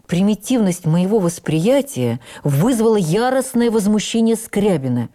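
A woman reads a literary memoir for radio as an actress would, with measured, expressive and deliberate phrasing. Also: mid-range voice. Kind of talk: dramatic literary narration for radio.

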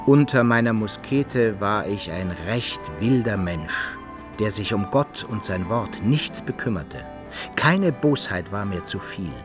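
An actor playing a roguish, lowly musketeer who frankly recounts his wild life in old-fashioned radio play storytelling. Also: expressive, unhurried, over music. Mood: rueful, frank. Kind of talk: radio play narration and acting.

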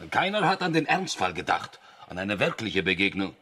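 An actor playing a blunt, skeptical crew member in a radio play, with a dry, naturalistic delivery. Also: male voice, on the low side, very animated, moderate pace, pushed and bright, slightly rough, heavily acted. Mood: skeptical, resigned. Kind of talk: German radio play acting.

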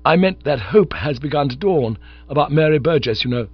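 A man voicing an excitable, scatterbrained young gentleman who chatters eagerly and breathlessly. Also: fairly high pitch, fairly quick, slightly rough, dry recording, pitched above the performer's own voice. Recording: dull lo-fi recording with mains hum.

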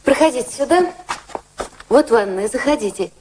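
An actress playing a wealthy high-society lady, concerned and kind but firmly insistent, in a radio play. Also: animated, normal speed, background noise, close to an everyday voice. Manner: solicitous, firmly commanding.

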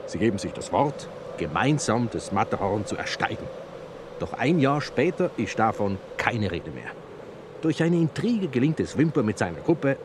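An actor in a German radio drama playing a proud, middle-aged mountaineer and filmmaker who tells stories with theatrical relish. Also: male voice, mid-range voice, background noise, natural voice.

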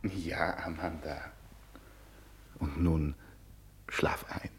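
An actor in a German radio play as a devoted, coaxing husband and theatre director, with a theatrical delivery that moves between declamation and murmur. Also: male voice, rumbling low, steady tone, extremely slow, slight background noise, exaggerated voice. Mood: gentle, resigned.

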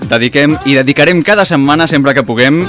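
A man's voice: a little high, fairly quick, over music. Male radio host introducing news items. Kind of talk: introducing news on a talk show.

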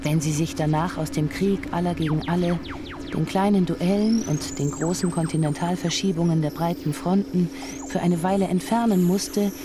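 A woman's voice: on the low side, fairly even intonation, normal speed. A woman narrating a scripted radio drama in literary prose. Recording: studio voice over clear music.

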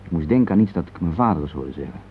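A man's voice: low voice, animated, rapid-fire. An actor playing a reflective, tender middle-aged husband in a radio play, hesitant and searching for words as he speaks. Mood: tender, reminiscing. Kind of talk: intimate radio play dialogue. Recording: muffled phone-like old radio recording, hissy.

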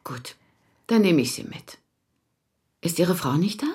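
A woman as a direct, concerned townswoman in a radio play. Her voice is very low for a woman and has a husky grain. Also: expressive, measured pace, dark tone, heavily acted. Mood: pointed, concerned. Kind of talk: German radio play dialogue.

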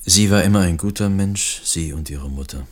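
Very deep, husky, earnest filmmaker. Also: male voice, moderate pace. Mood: earnest, reflective. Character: earnest, measured filmmaker introducing his aunt's story. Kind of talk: radio drama monologue.

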